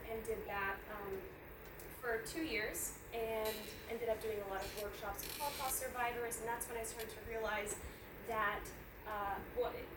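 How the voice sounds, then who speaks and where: A young woman, a native Portuguese speaker, talking earnestly to an audience as a panel speaker, often restarting her words with many fillers. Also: mid-range voice. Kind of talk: personal talk to an audience. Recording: roomy echo, distant mic, loud background hiss.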